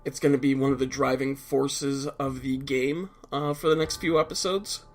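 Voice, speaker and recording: A man's voice: mid-range voice, steady tone, moderate pace. A man with a stuffed-up nose giving casual, explanatory commentary over a video game, blunt and a little profane at times. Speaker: let's play commentator.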